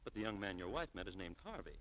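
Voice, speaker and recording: An actor playing a businesslike private detective, clipped and official, in 1940s radio style. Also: male voice, low voice, big pitch swings, quick pace, a bit bright, heavily acted. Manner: clipped, official, matter-of-fact. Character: businesslike, matter-of-fact private detective, a man. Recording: noisy vintage radio recording.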